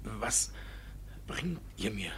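An actor playing a sly, flustered middle-aged village judge, blustering and wheedling, in a 1950s German radio play. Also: male voice, on the low side, sing-song, very slow, a crowd in the background, heavily acted. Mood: pleading, urgent. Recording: noisy early 1950s radio recording.

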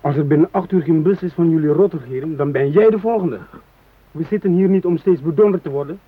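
An actor playing a threatening, embittered train hijacker in a radio drama, speaking with menace and contempt. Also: male voice, on the high side, fast talker, slight background noise, natural voice. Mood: menacing, bitter. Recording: muffled phone-like old radio recording.

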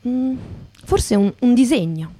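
A woman acting in poetic radio theatre as a wondering, solemn storyteller, with a measured, lyrical delivery. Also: a little high, lively intonation, warm tone. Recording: guitar music behind the voice.

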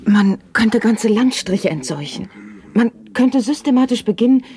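A woman playing an earnest, high-strung young dweller of a dystopian dome city in a science-fiction radio play. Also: expressive, measured pace, warm tone. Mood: earnest, urgent. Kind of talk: 1970s German radio drama acting.

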